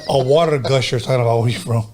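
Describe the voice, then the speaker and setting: A man's voice: mid-range voice, racing, background noise. A man in his fifties from California, a podcast host, chatting casually and agreeably in street slang. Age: 50s. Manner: laid-back, agreeable street talk.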